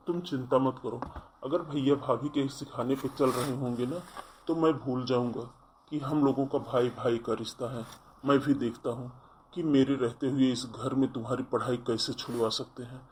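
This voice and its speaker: A man's voice: medium pitch, fairly even intonation, normal speed, a little mellow, a little hiss or hum, close to an everyday voice. A man voicing a protective, defiant young brother, earnest and firm, in a melodramatic cartoon story. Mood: concerned, resolute.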